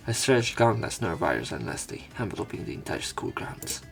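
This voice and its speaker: Male visual novel narrator, deep voice, tired delivery with swings in pitch, faint music behind. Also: very animated, moderate pace, vocal fry. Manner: subdued, tired-sounding delivery. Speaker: let's play narrator. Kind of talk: reading a visual novel aloud with casual commentary. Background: game music.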